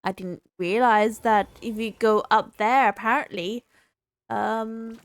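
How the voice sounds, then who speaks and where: A woman live-streaming a game, casually reacting and thinking aloud in halting bursts. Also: fairly high pitch, steady tone, dry recording. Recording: game music behind the voice. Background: game music.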